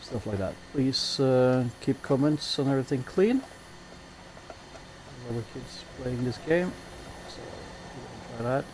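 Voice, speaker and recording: A man's voice: mid-range voice, a little slow, darkish tone, a little hiss or hum, rough recording. A man with a Norwegian accent gives easygoing, chatty commentary as a game streamer, with self-mocking jokes. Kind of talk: casual commentary while playing a building game.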